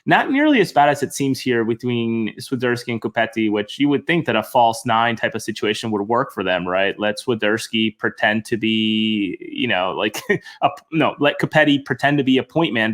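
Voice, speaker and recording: Relaxed man guesting on a soccer show. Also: medium pitch. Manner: relaxed, thinks aloud, corrects himself. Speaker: podcast guest, team reporter. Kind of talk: casual soccer chat.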